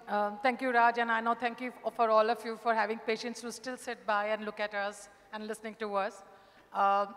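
A woman's voice: a little high, a bit bright. A middle-aged woman giving an unscripted answer as a panelist on a conference stage. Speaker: panelist, HR business partner. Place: large conference hall stage, indoors. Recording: reverberant conference hall.

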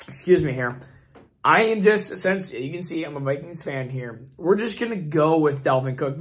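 A young man with a hoarse, fading voice hosts his channel, rambling and thinking aloud with many fillers as he talks through his picks. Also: on the high side. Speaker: fantasy football channel host. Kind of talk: casual screen-share commentary.

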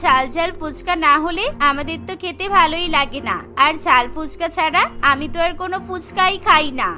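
A cheeky, stubborn little schoolgirl from a cartoon , acted by a woman, pleading and insisting. The voice is tiny and extremely high, with a bright, piercing edge, over soft music. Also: a little fast. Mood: eager, bossy. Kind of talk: Bengali cartoon story voice acting.

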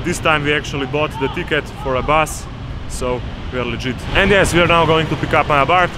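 Eager young vlogger with road noise. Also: male voice, fairly high pitch, brisk. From Slovenia. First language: Slovene. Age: young adult. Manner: enthusiastic, talks straight to camera. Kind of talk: casual travel vlog. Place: outdoors in city streets and inside a car. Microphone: handheld camera, in-car camera. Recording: loud street and car noise. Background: traffic and engine noise.

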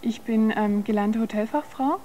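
A woman's voice: fairly high pitch, normal speed, dark tone, slight background noise. Effusive woman contestant on a TV stage. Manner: eager, effusive. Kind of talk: studio interview answers. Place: TV studio stage. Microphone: host's handheld microphone.

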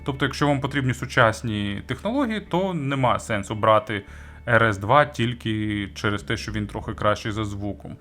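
A man's voice: on the low side, normal speed, a bit bright. A man giving a casual, conversational product review, explaining things informally as the host. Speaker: audio gear reviewer and host. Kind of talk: casual semi-scripted product review commentary.

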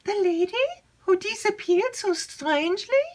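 A woman voicing an excitable, gossipy young female servant who chatters breathlessly. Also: extremely high pitch, unhurried, voice pushed up, full character voice. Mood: excited.

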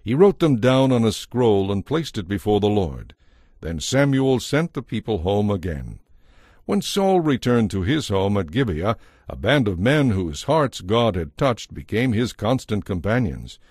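Male narrator, low-pitched, animated intonation, scripted Bible storytelling, dry close sound. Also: a little slow, slightly rough, dry recording.

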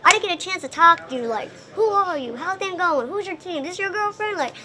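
A young woman with a very high voice talks casually in an interview. She is chatty and often slips into quoting other people as she explains things. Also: animated, brisk, a crowd in the background. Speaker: performer being interviewed. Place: indoor lounge by a window.

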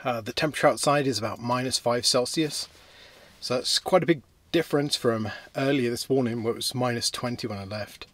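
A man with an ordinary mid-range voice talks through his camping gear in an easy, unhurried way. He is sitting in a warm canvas tent on a winter night, showing each item to the camera as he speaks. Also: mid-range voice, no echo. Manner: relaxed, chatty, thinks aloud with fillers. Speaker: outdoor vlogger. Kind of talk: casual gear review and camping vlog.

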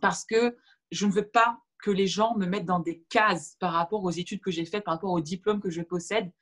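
A woman's voice: mid-range voice, steady tone, moderate pace, a bit bright. Woman in her 30s on a webcam call from home, arabic first language, lots of euh and restarts while she thinks. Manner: reflective, thinks aloud with many fillers and restarts; gestures while talking. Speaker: interview guest. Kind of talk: casual video-call interview. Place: room at home with shelves. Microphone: video call webcam.

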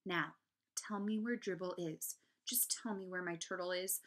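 A woman's voice: fairly high pitch, big pitch swings, a little slow, bright, forceful tone, pitched above the performer's own voice, full character voice. A woman voicing an indignant, exasperated schoolboy big brother in a children's story. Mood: anxious, demanding. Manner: emphatic, indignant.

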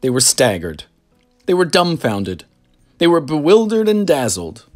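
An expressive narrator reads a children's story aloud. The delivery is dramatic, with lively swings in pitch, and music plays underneath. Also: unhurried.

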